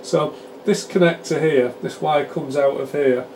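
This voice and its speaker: A British man gives an informal hands-on technical explanation as a presenter, chatty and halting as he explains things. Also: a little high, steady tone, a little mellow, slight background noise. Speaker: presenter demonstrating radio repair equipment.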